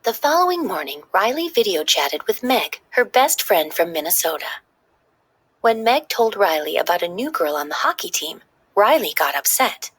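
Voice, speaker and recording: Husky, expressive woman reading a storybook. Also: mid-range voice, moderate pace, no echo. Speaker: children's story reader. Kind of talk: expressive scripted read-aloud narration.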